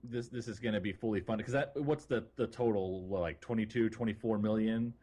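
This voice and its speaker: A man's voice: on the low side, steady tone, fairly quick, dark tone. A man co-hosting a gaming podcast, chatting informally in a joking way. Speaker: podcast co-host. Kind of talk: casual gaming chat.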